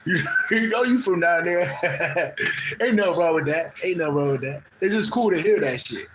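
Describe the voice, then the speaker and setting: A man's voice: a little high, lively intonation, normal speed, roomy sound, background noise. A man with a Midwestern American accent chatting loosely with a caller, laughing often and talking in heavy slang with lots of swearing. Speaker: host of a spiritual channel. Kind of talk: casual chat with a live caller.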